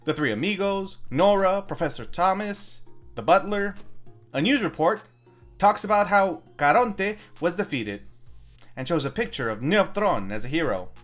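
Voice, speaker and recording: A man's voice: sits high, animated, moderate pace, faint music behind. A man hosting a film review, reading scripted narration with playful, wry asides. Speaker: host of a film review show.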